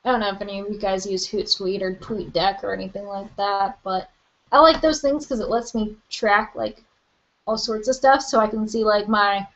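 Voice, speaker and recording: A young woman hosting a live book Q&A from her webcam, chatty and rambling with lots of "like" and "um", her voice a little hoarse. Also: medium pitch, steady tone, normal speed, a bit bright, muffled recording. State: slightly hoarse, losing her voice. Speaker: book vlogger. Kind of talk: live-stream Q&A.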